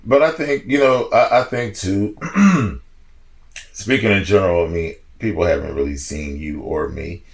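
Animated storytelling man in his forties. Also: deep voice, moderate pace. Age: 40s. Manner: animated, laughing storyteller with slangy speech. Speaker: podcast guest. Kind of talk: casual storytelling chat.

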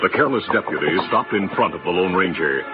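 Dramatic male narrator for vintage radio western, muffled broadcast, loud music behind. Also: sits low, animated, quick pace.

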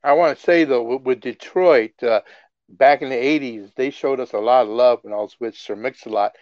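A middle-aged man from the Philippines, a guest in a casual interview, telling stories with lively excitement. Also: medium pitch, moderate pace, dry recording, lo-fi sound. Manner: animated storyteller, gets excited and laughs while telling stories. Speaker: guest, music artist.

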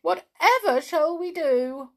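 Lady putting on a tiny fretful pixie from a kids book, way up high, loud n urgent, all over the place. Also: lively intonation, slow pace. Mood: alarmed. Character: excitable, anxious little pixie, leader of a crowd. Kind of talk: children's storybook character voice.

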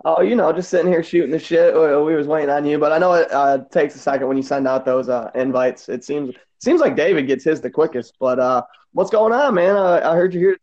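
A man's voice: on the high side, brisk, hardly any pauses, a little mellow, lo-fi sound. A man with a Midwestern accent hosting a casual podcast chat, loose and rambling, swearing now and then. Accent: Midwestern American. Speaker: host.

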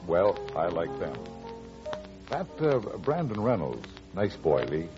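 An actor playing an easygoing, wry middle-aged farmer and suitor with an American accent in a classic radio drama. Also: male voice, deep voice, huge pitch swings, slow pace, music in the background, exaggerated voice. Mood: warm, persistent. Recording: dull lo-fi old radio recording.

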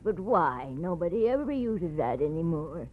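An actress playing a nosy, sassy female worker at a dance hall, with drawn-out 1940s radio delivery. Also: on the low side, sing-song. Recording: dull lo-fi old radio recording.

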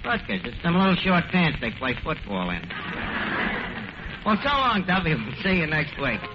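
A man's voice: a little high, very animated, normal speed. A man acting a brash, slangy tavern manager who mangles his words in an old radio comedy. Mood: breezy. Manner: malapropisms and bad grammar, bluffing. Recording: noisy, muffled old radio recording.

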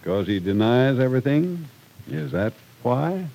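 A man's voice: medium pitch, very animated, measured pace, vocal fry, warm tone, heavily acted. An actor playing an exasperated, protective older man with an incredulous, scolding manner in an old-time radio soap opera.

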